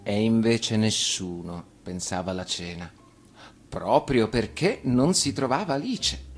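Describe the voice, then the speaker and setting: A man reading a children's tale as storyteller, in an expressive scripted narration. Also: fairly low pitch, a little slow.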